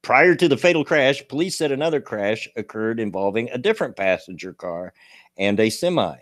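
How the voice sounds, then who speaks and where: A man in his late fifties with a gravelly voice, hosting a casual livestream talk show and reading local news in a folksy, rambling way. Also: mid-range voice, animated, a little fast, dry recording, clean studio sound. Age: 50s. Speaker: show host.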